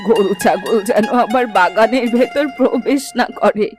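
A woman's voice: medium pitch, moderate pace, lightly acted. A woman voicing a chatty old village granny in a cartoon. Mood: chatty, kindly. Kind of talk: cartoon dialogue. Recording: background music and crowd bustle.

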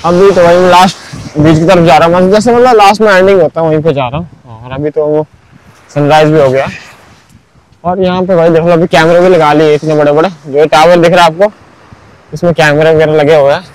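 A man's voice: on the high side, fairly quick, rough recording. Chatty man walking a beach. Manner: chatty, keeps saying "bhai" and "matlab". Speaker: vlogger. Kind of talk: casual walking tour narration. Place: outdoors on a beach at sunrise.

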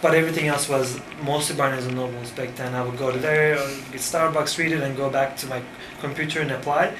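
A man in his thirties from Turkey, Turkish native, speaking English with a Turkish accent in a casual interview, pausing often with fillers. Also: medium pitch, fairly even intonation. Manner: thoughtful, halting with many fillers. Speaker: design firm founder. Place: cafe-like room with framed wall, indoors. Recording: echoey room with background noise.

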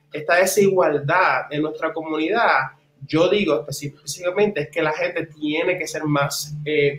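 Puerto Rican man, higher-pitched voice, passionate advocate, emphatic repetition, echoey video call. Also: a bit bright, slight background noise. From Puerto Rico. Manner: passionate, emphatic, repeats words for stress. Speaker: interviewee, community advocate. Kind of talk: conversational interview answers in Spanish with some English words. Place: indoors, small wood-walled room at home. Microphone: computer mic on a video call.

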